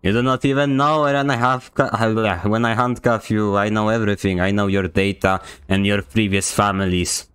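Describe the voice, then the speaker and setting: A man's voice: lowish voice, fairly even intonation, normal speed, hardly any pauses, a bit bright, clean tone. A young man from Poland with a Polish accent, giving joking, sarcastic commentary while streaming a game. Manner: joking, sarcastic, mock-indignant. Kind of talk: casual gameplay commentary.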